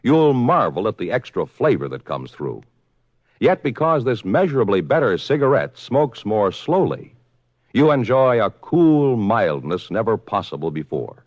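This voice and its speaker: An American man delivering a polished, emphatic sales pitch as a commercial announcer on 1950s radio. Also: big pitch swings, measured pace, a little mellow, no echo. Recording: slightly dull, dry vintage radio recording.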